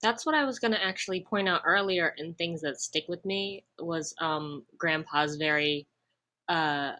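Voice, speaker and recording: A woman's voice: fairly low pitch, unhurried, pushed and bright. A woman on a panel sharing personal reflections casually, in a thoughtful and somewhat hesitant way.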